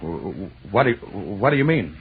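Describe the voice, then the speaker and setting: An actor playing an awestruck, frightened and insistently curious husband, stammering urgent questions in an old-time radio horror drama. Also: male voice, fairly low pitch, very animated, normal speed. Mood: fearful. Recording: narrow-band old radio broadcast with hiss.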